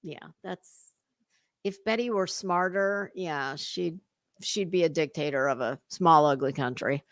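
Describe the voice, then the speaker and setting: A woman's voice: low-pitched, a little slow, dry recording, studio quality. A woman giving casual reaction commentary as a livestream host, sarcastic, mocking and foul-mouthed. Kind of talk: casual reaction commentary over a played clip.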